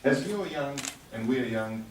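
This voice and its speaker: A man's voice: medium pitch, lively intonation, normal speed, far from the mic. Male conference speaker, mid-range voice, encouraging but hesitant delivery, heard from afar in a noisy hall. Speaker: speaker addressing a youth conference. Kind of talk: motivational speech with audience questions. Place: large echoing hall at a conference ceremony. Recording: echoey hall from afar, background noise.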